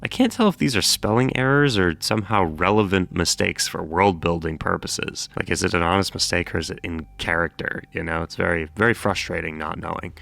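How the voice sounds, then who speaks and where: A man's voice: low voice, brisk, vocal fry, hardly any pauses, a bit bright, no room sound. A man hosting a horror explainer, mixing scripted narration with casual, wry commentary and jokey, sweary asides. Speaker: host of horror series explainer videos.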